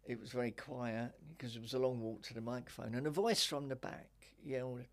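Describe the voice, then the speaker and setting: An older woman with a deep voice, a stand-up comedian telling wry anecdotes in a relaxed interview chat. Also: a little fast. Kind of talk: casual interview chat, storytelling.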